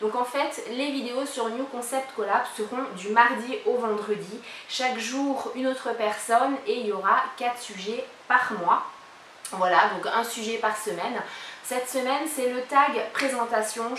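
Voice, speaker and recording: Woman in her 30s, vlogger; chatty, filler-heavy, higher voice, echoey room, mic at a distance. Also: measured pace, slight background noise. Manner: chatty, many fillers, lively question reading. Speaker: YouTuber presenting a collab channel. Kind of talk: casual vlog, answering a tag.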